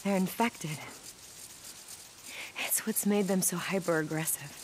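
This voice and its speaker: A woman's voice: lowish voice, unhurried, slight background noise, natural voice. Determined American woman scientist played by an actress. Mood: earnest. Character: guarded, determined woman scientist surviving an apocalypse. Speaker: video game voice actor. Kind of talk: naturalistic dramatic game cutscene acting.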